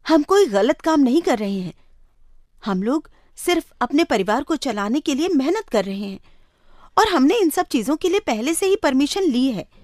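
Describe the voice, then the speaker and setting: A feisty young sister from a moral tale , acted by a woman storyteller. Her voice sits high and swings widely in pitch. She speaks bluntly and challenges people, in a dry recording. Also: big pitch swings, a little mellow, no echo. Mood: firm, defiant. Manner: confrontational, rapid rhetorical questioning. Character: bold, outspoken young village sister defending her food business.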